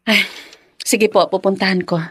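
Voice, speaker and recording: A woman playing a gentle, dutiful young mother in a radio drama, tender and respectful with a hesitant edge. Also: mid-range voice, little pitch movement, moderate pace, background noise, close to an everyday voice. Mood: cheerful, dutiful. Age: young adult.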